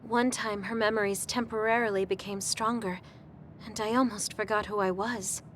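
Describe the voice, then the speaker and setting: A woman playing a sweet, guileless young woman in a video game, speaking gently and politely. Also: medium pitch, fairly even intonation, normal speed, a little hiss or hum, close to an everyday voice. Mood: worried, sincere. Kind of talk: English video game voice acting. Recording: faint music behind the voice.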